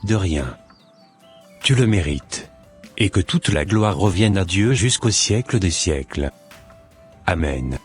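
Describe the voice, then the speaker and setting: A man's voice: low voice, animated, takes it slowly, pauses often, breathy edge, faint music behind, exaggerated voice. A man voicing an earnest young suitor. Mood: devout. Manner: earnest, formal.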